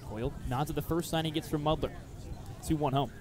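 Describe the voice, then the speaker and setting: A man's voice: fairly high pitch, steady tone, brisk, background noise. A man calling live baseball play-by-play for radio, mixing clipped phrases with detailed description.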